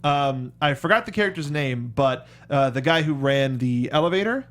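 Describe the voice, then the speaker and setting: A man co-hosting a film review show, chatting casually and haltingly, often restarting his sentences. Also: medium pitch, expressive. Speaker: co-host of a movie review show. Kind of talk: casual conversational film discussion.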